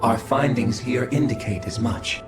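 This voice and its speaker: A man voicing a coldly logical, formal alien scholar in a video game cutscene, speaking in a measured, clinical way. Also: medium pitch, close to an everyday voice. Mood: clinical, detached. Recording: echoing voice over game music.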